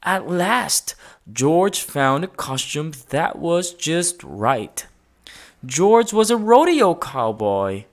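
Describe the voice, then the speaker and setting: Low-voiced, expressive woman reading a storybook. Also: extremely slow. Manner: expressive, dramatic emphasis, sound-effect words. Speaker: storybook reader. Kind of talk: read-aloud children's story narration.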